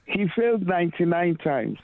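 An earnest male caller speaks slowly and emphatically, sharing life lessons as he goes. His voice sits a little high. It comes through a thin, tinny phone line. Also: big pitch swings, no echo. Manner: earnest, philosophical, slow emphatic phrasing. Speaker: radio caller. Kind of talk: phone-in call. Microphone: telephone.

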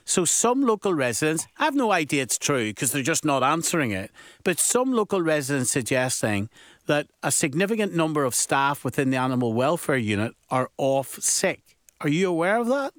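A man hosting a live radio show, brisk and informal as he presses his guests with questions. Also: a little high, sing-song, a bit bright, no echo, clean studio sound. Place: radio studio. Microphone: studio microphone.

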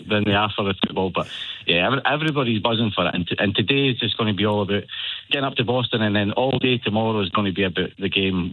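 A Scottish man in his forties calling a radio show by phone, excited and rambling with many restarts. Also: lowish voice, no echo. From Scotland. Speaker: caller. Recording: phone line.

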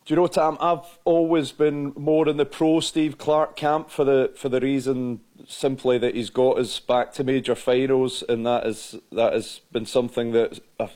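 A man's voice: mid-range voice, warm tone. A Scottish man, a radio sports presenter, talking earnestly on a remote link in casual radio chat.

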